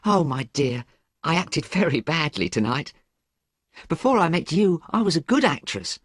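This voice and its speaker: A man voicing a lovesick young actress in a storybook reading, pitching his voice up to plead with her lover. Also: big pitch swings, normal speed, a bit bright, breathy edge, dry recording, clean studio sound, full character voice. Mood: giddy happy.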